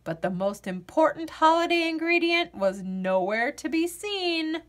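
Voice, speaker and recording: Slow, expressive woman reading a picture book. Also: sits high. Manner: expressive, storytelling. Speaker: children's story reader. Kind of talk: picture book read-aloud.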